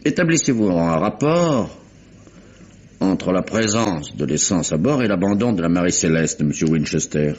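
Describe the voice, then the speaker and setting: An actor playing an imperious, insistent public prosecutor with formal, pointed questioning in a vintage French radio mystery. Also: male voice, low voice, big pitch swings, normal speed, darkish tone, slight background noise. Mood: probing, formal. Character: imperious, insistent English male public prosecutor interrogating witnesses. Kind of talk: 1950s-style French radio mystery acting. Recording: dull, muffled vintage radio recording.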